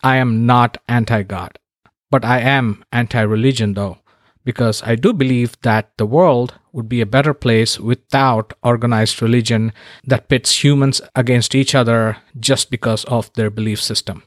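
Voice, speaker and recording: Podcast host from India, dry close sound. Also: male voice, medium pitch, fairly even intonation, normal speed, dry recording. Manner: earnest and emphatic, with wry asides. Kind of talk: scripted podcast monologue.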